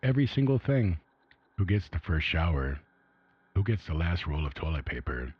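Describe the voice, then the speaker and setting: A man's voice: low voice, sing-song, moderate pace, husky, heavily acted. A man voicing a weary, exasperated vault resident reading his journal in a radio play, despairing and emphatic, through a retro AM radio filter. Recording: dull retro AM radio sound.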